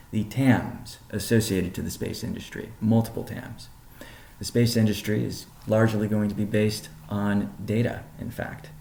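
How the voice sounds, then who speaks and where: A man presenting as a company chief on a business conference call, speaking in measured, deliberate phrases. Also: fairly low pitch, vocal fry, dark tone. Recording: roomy, echoing sound.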